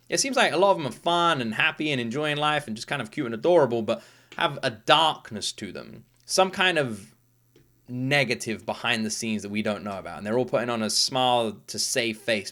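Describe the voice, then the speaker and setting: A man's voice: medium pitch, bright, forceful tone. A man hosting a reaction video, talking casually and emphatically with informal, sweary enthusiasm.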